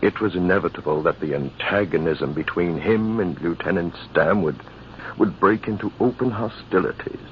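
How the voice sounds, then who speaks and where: An actor playing a measured military officer, formal and deliberate, telling a tale in an old-time radio drama. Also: male voice, deep voice, natural voice. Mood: grave, reflective. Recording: dull, noisy vintage radio recording.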